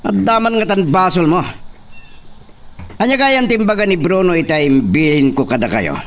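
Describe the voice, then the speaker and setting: A man's voice: sits high, lively intonation, moderate pace. A man playing a domineering young master in a radio drama, taunting and imperious as he speaks. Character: cruel, domineering young master bullying a servant. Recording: distant voice, faint background noise.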